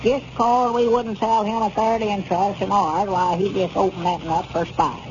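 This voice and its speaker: A man's voice: high voice, steady tone, moderate pace, natural voice. A man playing a gullible, admiring country storekeeper in 1930s radio comedy, with a folksy rural Arkansas drawl. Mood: friendly. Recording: noisy muffled 1930s radio recording.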